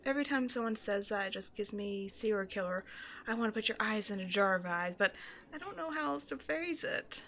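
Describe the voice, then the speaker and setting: A woman's voice: mid-range voice, expressive, a little fast, a bit bright. A woman playing a shy, self-doubting young woman who rambles hesitantly in an intimate one-sided roleplay conversation with a stranger. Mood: shy, flustered. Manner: hesitant, apologetic, nervously overthinking, giggly.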